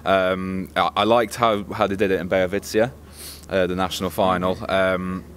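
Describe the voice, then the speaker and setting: A man giving a casual spoken review in a measured, hesitant, diplomatic way as a co-presenter. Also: low voice, level tone, a bit bright. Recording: faint background ambience noise.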